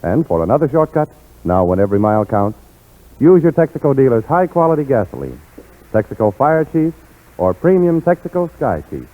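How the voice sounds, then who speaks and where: Male radio host, vintage forties announcer, polished and declamatory with lively swings in pitch, thin band-limited sound. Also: fairly quick. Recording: tinny, band-limited 1940s radio broadcast.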